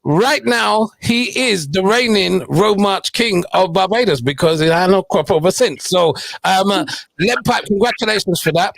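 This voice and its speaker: Man, radio host, middle-aged, gravelly, dry booth sound, playful. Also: high voice, normal speed, no echo, rough recording. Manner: chatty, playful, teasing. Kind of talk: casual radio interview.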